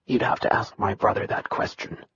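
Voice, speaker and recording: A narrator voicing a bitter young man from a royal family who speaks in a low, level, emotionless tone. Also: normal speed, fairly bright tone, dry recording, highs cut off, close to an everyday voice. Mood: cold, bitter. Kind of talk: audiobook character reading.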